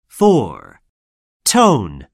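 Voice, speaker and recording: A woman reading a scripted textbook listening drill, saying words slowly and deliberately for learners to repeat. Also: a bass voice, huge pitch swings, slightly rough, no room sound, studio quality. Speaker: lesson narrator.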